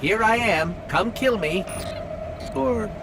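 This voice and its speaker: A man's voice: high-pitched, lively intonation, takes it slowly, creaky, noisy background, heavily acted. A man voicing a small, confident robot companion in video game dialogue, joking and planning aloud. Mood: wry. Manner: quippy, upbeat planner. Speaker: companion guide. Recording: game audio over loud music.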